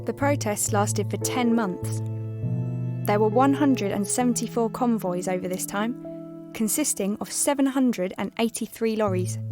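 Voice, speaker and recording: A woman's voice: a little high, natural voice. A woman reading a factual scripted epilogue as the narrator of an audio drama. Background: music with guitar.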